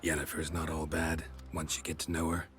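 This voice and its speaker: A man playing a stoic, weary monster hunter with a low gravelly voice and terse, deadpan delivery in a fantasy game. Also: fairly quick, over music. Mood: dry, guarded. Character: stoic, weary monster-hunting drifter, dry and guarded. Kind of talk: cinematic game dialogue.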